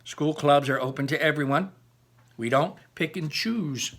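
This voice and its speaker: A man voicing a firm, fair-minded male schoolteacher in a storybook, speaking with calm authority to settle a quarrel. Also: on the high side, unhurried.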